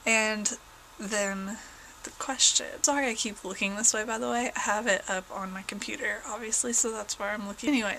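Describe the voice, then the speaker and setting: A woman's voice: mid-range voice, bright, forceful tone, a little hiss or hum, rough recording. A young woman from southern Indiana with a southern Indiana accent, slightly congested, chatting casually and rambling as a vlogger at home. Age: young adult. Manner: rambling, many fillers and self-corrections. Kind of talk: casual chat.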